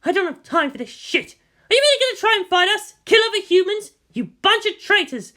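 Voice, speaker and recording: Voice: extremely high pitch, big pitch swings, fairly quick, bright, forceful tone, pitched above the performer's own voice, full character voice. A narrator voicing a fierce, defiant female military commander who swears and snaps in anger. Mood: furious, indignant.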